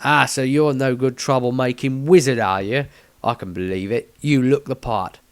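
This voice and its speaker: A man's voice: mid-range voice, steady tone, moderate pace, natural voice. Man acting a sneering village thug. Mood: scornful. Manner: sneering, menacing. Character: scornful male village thugs threatening a wizard. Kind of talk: storybook character voice.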